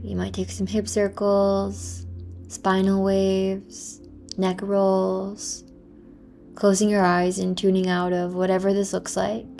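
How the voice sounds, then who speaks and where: A woman teaching a yoga class, guiding movement and breath in a gentle, encouraging manner over music. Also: mid-range voice, creaky. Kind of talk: guided yoga class with meditative cues.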